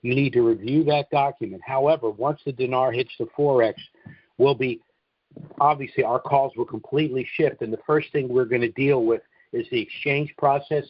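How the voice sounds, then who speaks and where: A man's voice: medium pitch, steady tone, normal speed, no echo. Man advising callers on a dull-sounding conference line. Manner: instructive, emphatic, repeats points. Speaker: host answering callers on a conference call. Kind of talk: informal question-and-answer advice. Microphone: conference call line. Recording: dull, lo-fi conference call audio.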